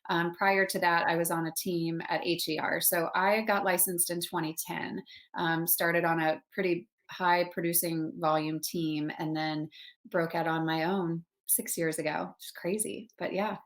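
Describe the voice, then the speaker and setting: A woman's voice: lowish voice, flat delivery, unhurried. Chatty woman from Texas. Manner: upbeat, chatty, frequent laughs. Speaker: guest, real estate agent. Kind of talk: casual interview chat.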